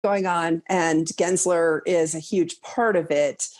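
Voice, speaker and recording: A woman commentator explaining her analysis in a measured, conversational interview style. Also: lowish voice, steady tone, darkish tone.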